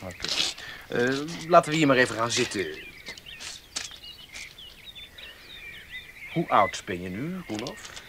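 An actor playing a smooth, scheming young student in a 1970s radio drama, coaxing with hesitant pauses. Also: male voice, mid-range voice, big pitch swings, close to an everyday voice. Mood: casual, probing. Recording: faint noise, 1970s radio recording.

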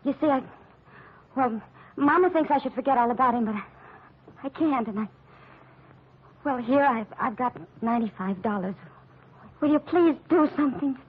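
A timid, distraught young woman in a vintage radio melodrama. Her high voice halts and pleads earnestly on a dull old recording. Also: lively intonation, a little hiss or hum. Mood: nervous, halting. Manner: halting, pleading. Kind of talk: 1940s radio melodrama acting.

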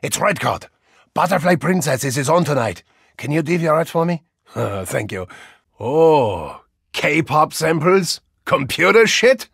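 An actor playing a boisterous German soccer-hooligan brawler with a soft side, in a bombastic, comically theatrical video game style with a German accent. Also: male voice, fairly high pitch, animated, unhurried, gravelly, breathy edge, no echo, studio quality, heavily acted. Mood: blustering, chatty. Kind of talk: animated video game character lines.

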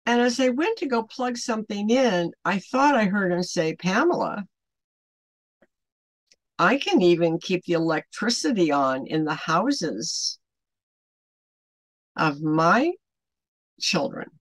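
A woman's voice: mid-range voice, lively intonation, slow pace, pauses often, no echo. An older woman of about seventy giving an unscripted, rambling devotional talk to camera, emphatic and fond of rhetorical questions, as an online ministry host. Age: older, around 70. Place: small furnished home room, indoors.

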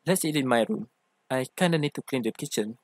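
A man's voice: mid-range voice, a little fast, no room sound, lightly acted. A man playing an affectionate, theatrical young boyfriend who teases and coaxes in an ASMR roleplay. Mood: playful, teasing. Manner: theatrical, teasing, coaxing. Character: playful, affectionate, teasing young femboy boyfriend.

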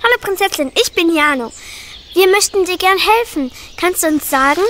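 A woman's voice: extremely high pitch, animated, pushed and bright, exaggerated voice. A woman voicing a cheeky young detective helper in a children's radio play. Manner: cheeky, brisk.

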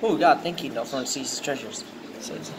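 A man casually narrating a package unboxing, thinking aloud and getting excited, filmed on a handheld phone indoors. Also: medium pitch, brisk. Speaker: unboxing video host. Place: indoors, tiled floor room. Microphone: handheld phone camera. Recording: noisy room ambience.

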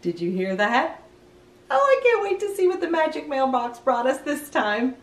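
A middle-aged woman hosting a children's read-aloud, chatting in an animated, sing-song way to young viewers. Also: high-pitched, moderate pace, echoey room. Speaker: children's storytime host, grandmother. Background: music.

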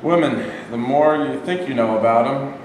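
A man's voice: medium pitch, normal speed, darkish tone, lightly acted. A young man acting a courteous, chatty German army lieutenant questioning a prisoner in a staged reading. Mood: affable, probing. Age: young adult. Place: bare rehearsal room, camera at a distance. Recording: echoey hall from far off, faint noise.

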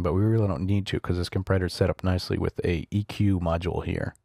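Male screencast tutor, casual and explanatory, low-voiced with vocal fry. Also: mellow, no room sound, clean studio sound. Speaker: software tutorial instructor. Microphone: close studio voice-over microphone.

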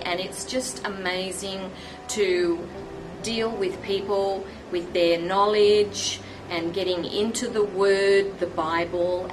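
Slow halting older woman. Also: lowish voice, poor audio. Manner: halting, searching for words. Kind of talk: personal testimony.

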